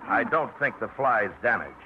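An actor playing a gruff, teasing fisherman with dry, sardonic banter in a 1950s radio drama. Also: mid-range voice, sing-song, normal speed, slight background noise, close to an everyday voice. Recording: dull old radio broadcast.

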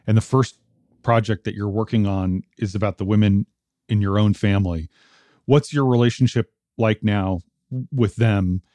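Low, mellow male interviewer. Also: steady tone, moderate pace, no echo. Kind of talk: serious interview questioning.

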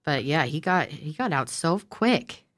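A woman with a very low voice chats on a true-crime podcast, sniffly from a cold. She reacts in short bursts and fills her sentences with "like". A close studio mic picks up every detail. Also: big pitch swings, unhurried. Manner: chatty, reacting with short remarks and lots of "like". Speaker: podcast co-host.

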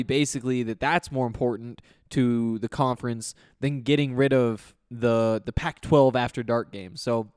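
Slightly hoarse man rambling on a podcast. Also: mid-range voice, darkish tone, dry recording, clean studio sound. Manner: rambling, full of fillers and restarts. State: slightly hoarse from losing his voice. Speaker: sports podcast host. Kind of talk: casual solo sports talk.

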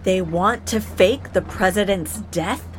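A woman's voice: mid-range voice, measured pace, natural voice. A woman playing a guarded, principled agency operative held captive, dryly defiant yet hesitant, in an audio drama. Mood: baffled, protesting.

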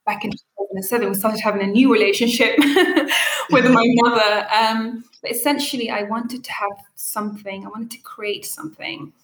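A woman's voice: fairly high pitch, moderate pace, roomy sound, slight background noise, rough recording. A woman from Eritrea and Ethiopia, a coffee brand founder, telling her story earnestly in an interview with frequent fillers. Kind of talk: interview answers.

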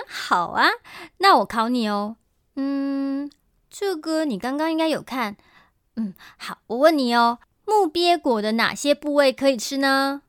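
A woman playing a patient, friendly young shop clerk in an amateur radio drama, gently encouraging as if to a child. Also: sits high, animated, unhurried, no room sound, clean studio sound. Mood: playful, encouraging.

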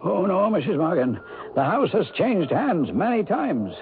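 An actor playing a folksy, shrewd small-town real estate agent in a 1970s radio drama. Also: male voice, high-pitched, very animated, measured pace, a little hiss or hum, exaggerated voice. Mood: folksy, salesmanlike. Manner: folksy, drawling rustic grammar. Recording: narrow-band vintage radio broadcast.

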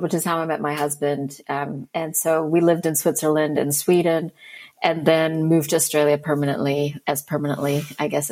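Guest, woman about 40, low voice, easygoing and reflective. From Philippines and Arkansas, USA. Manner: relaxed, thoughtful, laughs often. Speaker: podcast guest, writer. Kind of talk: casual interview. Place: Australia, remote call.

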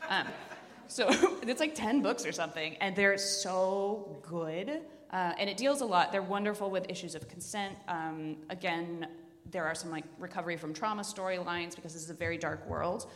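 A woman's voice: lowish voice, moderate pace. A woman chatting casually as a podcast host recommending books, enthusiastic and a little wry. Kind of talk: casual chat. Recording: reverberant hall.

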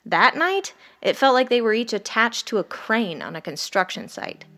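A woman's voice: on the high side, sing-song, moderate pace, sharp tone. A woman playing a wry, haunted, sleepless ER doctor who narrates a horror audio drama in the first person. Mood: weary, wry. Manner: wry, brooding, literary. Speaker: narrator and lead character.

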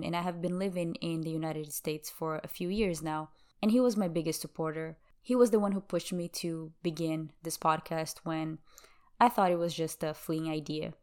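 A woman from Brazil whose first language is Portuguese hosts her podcast in English. She sounds young, and her voice sits a bit low. She talks in a chatty, easygoing way and laughs often. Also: darkish tone, clean tone. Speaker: podcast host. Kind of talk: casual podcast chat.

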